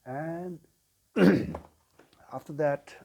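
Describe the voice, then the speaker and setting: Slow, mellow-voiced Nepali man. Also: on the high side, creaky. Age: 40s. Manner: unhurried, with frequent pauses and filler sounds. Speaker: sound healer. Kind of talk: personal life story told to camera.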